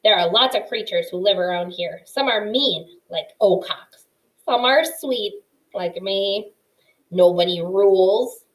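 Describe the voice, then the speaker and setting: Woman doing a gruff, brash old porcupine. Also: medium pitch, unhurried, natural voice, rough recording. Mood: lecturing. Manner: brash, slangy, scoffing. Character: gruff old male porcupine, blunt and teasing.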